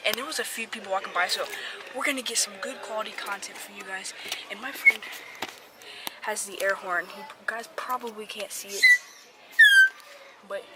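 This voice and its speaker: A woman filming a prank vlog from a hiding place inside a big store, keeping her voice low and often whispering. Loud store noise runs constantly behind her, and the camera sits close. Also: steady tone, moderate pace, pauses often. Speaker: prank vlogger. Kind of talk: casual vlog narration. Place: inside a large retail store, hidden in a fort of bags.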